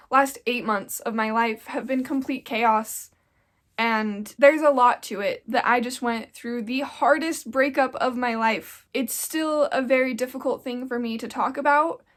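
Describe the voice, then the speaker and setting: A woman's voice: fairly high pitch, unhurried. A young woman around twenty, a little congested from getting sick, talking earnestly in a casual sit-down vlog and rambling with lots of "like.". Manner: earnest, rambling, with frequent "like" fillers. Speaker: YouTuber.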